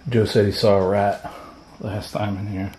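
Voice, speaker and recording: A middle-aged man with a Southern US accent, filming himself alone at night in an abandoned wooden shed and talking to his viewers in a hushed, jittery way. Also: on the low side, little pitch movement, moderate pace, noisy background. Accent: Floridian American. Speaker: paranormal explorer vlogger. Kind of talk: solo ghost-hunting vlog.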